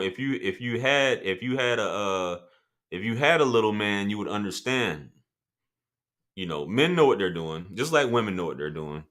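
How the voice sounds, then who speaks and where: A man with a deep voice hosting a casual livestream, reading chat comments and talking loosely with profanity and laughing asides. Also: fairly bright tone.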